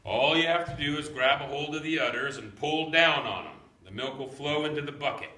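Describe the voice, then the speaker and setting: A man's voice: a little high, brisk, pushed and bright. A man acting a folksy, teasing old country grandpa with dry delivery in a staged script reading. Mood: wry, unruffled. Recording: echoey room recording.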